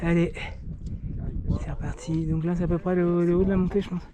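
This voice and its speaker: A man's voice: sits high, flat delivery, a little fast, background noise. A man filming himself during a mountain trail race, talking casually and tired, with lots of fillers and restarts. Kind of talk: casual vlog commentary while running.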